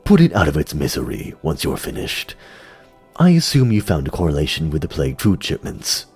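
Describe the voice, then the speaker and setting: A man playing a grave giant spider lord commander in a fantasy audio drama, speaking in a slow, measured, brooding way. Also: very deep voice, wildly swooping pitch, darkish tone, slightly rough, faint music behind, voice pushed down, exaggerated voice.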